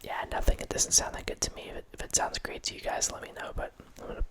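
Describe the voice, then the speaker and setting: A man in his thirties whispering a casual, rambling talk close to a studio mic in a small home room, often restarting his sentences. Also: fast. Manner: rambling, self-correcting, casual. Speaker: host. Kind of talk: whispered ASMR horror film ramble. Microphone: studio mic close up, headphones.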